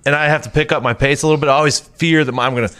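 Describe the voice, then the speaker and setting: A man's voice: on the high side, fairly even intonation, very fast, a bit bright, clean tone. A man co-hosting a podcast, asking a joking, self-deprecating question. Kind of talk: casual chat.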